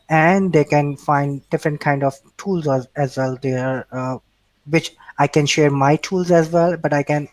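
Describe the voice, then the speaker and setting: A Pakistani-accented man whose first language is Urdu talks in a slightly high voice. He stops and restarts his sentences often and fills the gaps with "uh" and "um." The recording is dry, with no room sound. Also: no echo, rough recording. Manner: hesitant, many restarts and fillers. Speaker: interview guest. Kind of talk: casual remote interview.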